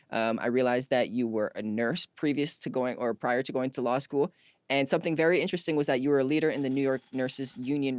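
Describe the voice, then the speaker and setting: A man with a mid-pitched voice in a very dry recording. He hosts conversationally, affirming the guest and leading into questions with an appreciative manner. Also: no echo. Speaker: podcast interview host. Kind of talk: conversational hosting, asking questions.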